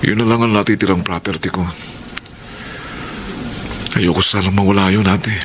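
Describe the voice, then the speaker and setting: An affectionate, troubled younger brother played by a male actor in a radio drama. The voice is fairly low, emotive and respectful, with clear noise in the background. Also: steady tone, normal speed, natural voice. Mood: ashamed, worried. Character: affectionate, debt-burdened younger brother of the mayor. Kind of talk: Filipino radio drama acting.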